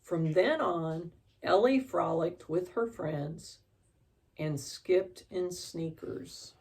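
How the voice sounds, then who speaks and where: A woman reads a children's story aloud with expression. Her voice is slightly low and creaky, and she takes her time. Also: very slow, a little mellow.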